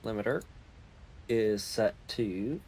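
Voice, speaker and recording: A man with a lowish voice talks slowly and easily over a building game, humming little tunes while he tweaks his settings. His desk mic carries a faint hiss. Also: darkish tone. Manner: easygoing, hums while fiddling with settings. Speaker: streamer. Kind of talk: casual gaming chat.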